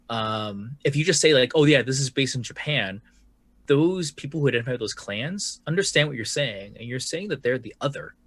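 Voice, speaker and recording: Husky man with lively pitch. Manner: critical, emphatic, curses for emphasis. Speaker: podcast co-host. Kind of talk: casual panel discussion.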